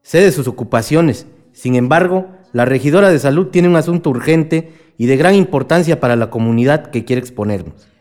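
Un actor de radionovela que interpreta a un presidente municipal cortés que se dirige formalmente al cabildo. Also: male voice, fairly high pitch, brisk, a little mellow, close to an everyday voice. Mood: courteous, official. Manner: ceremonious public address. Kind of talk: radio drama acting.

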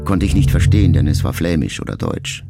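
Low, gravelly, reflective grown son. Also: male voice, lively intonation, brisk, over loud music, exaggerated voice. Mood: sombre, remembering. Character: reflective grown son addressing his mother, sombre and tender. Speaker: radio play actor. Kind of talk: intimate literary radio play monologue.

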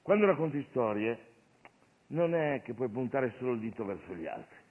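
A man's voice: medium pitch, animated, normal speed, husky. A man playing an irreverent, streetwise Jesus, commanding and jocular in a colloquial comic stage monologue. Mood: preaching. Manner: commanding, colloquial, mock-preaching. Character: irreverent streetwise Jesus, bossy, jocular master miracle-worker. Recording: phone-like radio broadcast of stage show.

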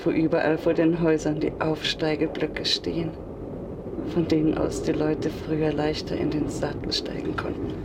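An actress in a German radio play playing a gentle, anxious married woman with a breathy, hushed voice. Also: completely flat delivery, normal speed. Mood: tender, drowsy. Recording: loud vehicle noise throughout. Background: rumbling train ride.

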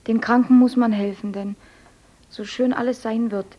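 An actress playing a devout, soft-spoken young woman in a radio play. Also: on the high side, steady tone, moderate pace, lightly acted. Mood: earnest. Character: pious clever young woman, gentle and humble. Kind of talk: radio drama acting. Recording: dull lo-fi recording.

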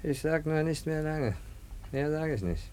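A man's voice: fairly high pitch, animated, moderate pace, darkish tone, slight background noise, close to an everyday voice. Actor as cryptic working-class man. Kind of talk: radio play acting.

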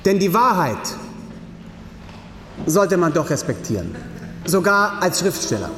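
An actor playing a solemn man who declaims deliberately in a radio drama. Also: sits high, big pitch swings. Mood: solemn, pointed. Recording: long echoing hall reverb, faint noise.

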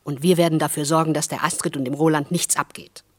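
An actress playing a resolute, caring wife and protective neighbour in a German radio play, firm and matter-of-fact. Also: female voice, low voice, steady tone, fast talker. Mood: earnest, caring.

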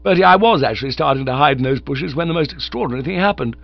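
A man reading aloud while voicing an excitable young gentleman who gushes about falling in love. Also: fairly high pitch, rapid-fire, creaky, dry recording, pitched above the performer's own voice. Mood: gushing, smitten. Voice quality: gushing, breathless, eager. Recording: dull lo-fi recording.

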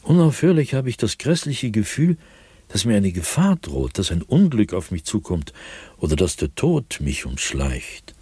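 An actor playing an anguished, haunted male writer in a radio drama, speaking his diary in a brooding, deliberate, husky manner with dramatic pauses. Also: on the low side, very animated, mellow, dry recording. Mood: anxious, oppressed.

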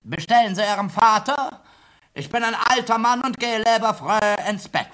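An actor playing a schoolboy who imitates a severe, mocking old professor, pompous and scolding, in a comic radio play. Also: male voice, high voice, moderate pace, natural voice. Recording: noisy, clicky old radio recording.

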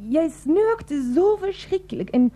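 A woman's voice: very high-pitched, expressive, takes it slowly, mellow, a little hiss or hum, heavily acted. A woman playing a flustered young woman in a radio drama, pleading, evasive and stammering. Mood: evasive, coaxing. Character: flustered, coaxing young woman caught in a love affair. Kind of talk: radio play dialogue.

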